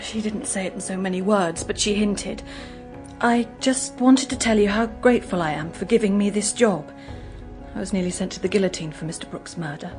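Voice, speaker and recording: An actress playing a gentle, guarded young English woman in a radio drama, speaking in an earnest, hesitant, confiding way. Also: medium pitch, brisk, faint music behind, natural voice. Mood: subdued, confiding.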